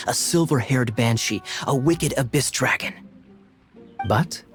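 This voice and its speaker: An actor playing a suave, sly young knight in a video game, smooth-talking and theatrical. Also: male voice, mid-range voice, natural voice.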